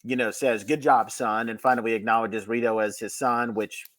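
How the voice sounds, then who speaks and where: A man's voice: medium pitch, fairly even intonation, normal speed, fairly bright tone. A man co-hosting a podcast, recapping a story in casual conversation. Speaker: podcast co-host recapping an episode. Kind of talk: casual chat, plot recap.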